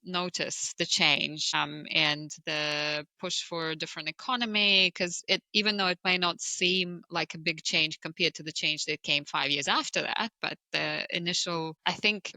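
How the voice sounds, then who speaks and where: A woman's voice: lowish voice, bright, forceful tone, no room sound. A woman, telling her story conversationally as a founder being interviewed. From Russia, born in Moscow. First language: Russian. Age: 40s.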